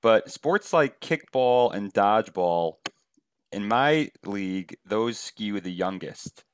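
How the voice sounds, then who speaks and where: A man's voice: on the low side, expressive, slow, slight background noise. A man in his thirties, a podcast host and league founder, asking questions in a curious, casual way. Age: 30s. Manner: curious, laughs along with guest.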